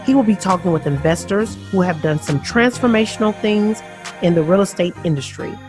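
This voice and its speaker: A woman reading a scripted show introduction as announcer, smooth and measured. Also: mid-range voice, music in the background.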